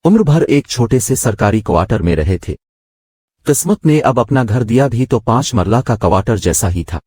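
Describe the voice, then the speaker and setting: A man's voice: medium pitch, sing-song, normal speed, darkish tone, slightly rough, no room sound. A man reading a scripted moral story as its narrator.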